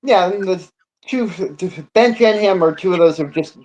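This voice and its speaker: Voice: medium pitch, normal speed, darkish tone, muffled recording. A person in a casual baseball talk show discussion, agreeable and reflective, repeating points for emphasis, on a noisy recording.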